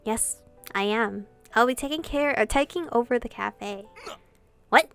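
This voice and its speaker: A woman's voice: a little high, unhurried, creaky, clear, resonant tone, soft background music. A woman hosting a let's-play, reading visual novel dialogue aloud and reacting theatrically with plenty of swearing. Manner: expressive, foul-mouthed, theatrical reactions.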